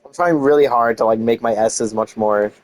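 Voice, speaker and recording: A man doing casual live-stream game commentary, soft-spoken yet projecting, rambling and thinking aloud. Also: medium pitch, moderate pace, soft background music.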